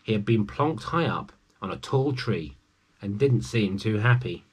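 A man's voice: on the low side, animated, unhurried, husky, rough recording. A man in his forties reads a children's story aloud, adding chatty asides to his young listeners. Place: small room at home with bookshelves.

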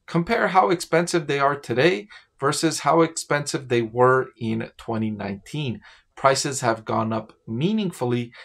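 A middle-aged man talking casually to camera as a finance commentator from a home office, often checking in with the viewer with a quick "right?". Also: medium pitch. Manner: conversational, asks "right?" to the viewer.

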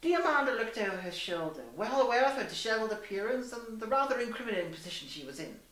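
A young woman giving a deliberate, theatrical dramatised reading of fan fiction, with emphatic character dialogue. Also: fairly high pitch, big pitch swings, fairly bright tone. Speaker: reader and host in costume. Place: small plain room indoors. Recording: roomy echo, distant camera microphone.

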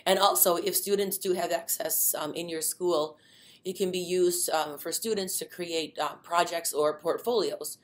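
Middle-aged woman, webinar trainer, low-ish voice, filler-heavy, relaxed explaining. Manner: many fillers, unhurried explaining. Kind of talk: informal instructional webinar.

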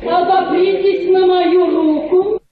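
A woman's voice: very high voice, fairly even intonation, slow pace, a crowd in the background, full character voice. An actress playing a scheming middle-aged society mother in a radio play, effusive and melodramatic. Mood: soothing. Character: scheming provincial society mother, gushing and domineering. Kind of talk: theatrical radio drama acting. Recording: dull, echoey radio drama recording.

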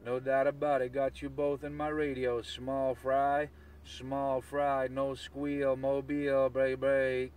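A man's voice: medium pitch, monotone, takes it slowly, a little mellow, clear, resonant tone. A man chatting on CB radio, chanting repeated phrases and call signs. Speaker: CB radio operator. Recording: noisy CB radio, partly far from mic.

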